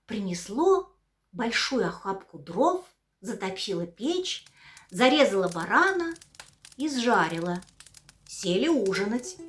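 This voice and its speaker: Выразительная сказочница, женщина. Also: female voice, a little high. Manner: expressive, storyteller's cadence. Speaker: actress reading a fairy tale. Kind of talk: bedtime story narration.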